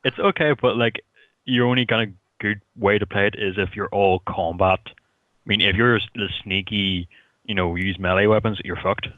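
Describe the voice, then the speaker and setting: A man with a lowish voice hosts a casual gaming conversation. He comes through dry and narrow, as if on a tinny phone line. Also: fairly even intonation, moderate pace, no echo. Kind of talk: casual chat about video games.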